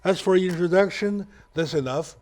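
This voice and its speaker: A man hosting a talk show interview, speaking with halting, hesitant phrasing. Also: high-pitched, a little mellow.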